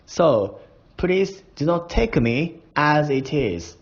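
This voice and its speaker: Slow, tipsy Japanese-accented man. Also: on the high side, big pitch swings. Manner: playful, chuckling, slow and deliberate. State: drunk. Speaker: language learner. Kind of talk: casual voice diary monologue. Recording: dull lo-fi recording.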